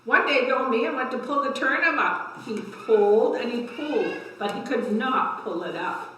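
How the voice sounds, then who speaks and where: Bright older woman reading in an echoey room. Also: a little high, lively intonation, normal speed, a little hiss or hum. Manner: simple explanatory asides and questions to young listeners. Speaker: library story time host. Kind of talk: reading picture books aloud to children. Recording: echoey room, distant microphone.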